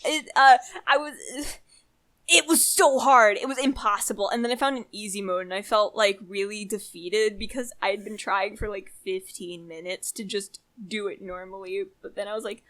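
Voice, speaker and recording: A young adult woman co-hosting a casual chat, telling stories in an animated, exasperated way with drawn-out emphasis. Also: medium pitch. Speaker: podcast co-host.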